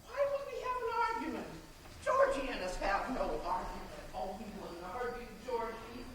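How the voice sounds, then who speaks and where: A woman in an amateur stage play acting a warm, bossy, meddling middle-aged mother who scolds and coaxes in a projected voice. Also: on the high side, sing-song, normal speed. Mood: insistent. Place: college theatre stage. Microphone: far camera. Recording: distant camera, echoey theatre, noisy.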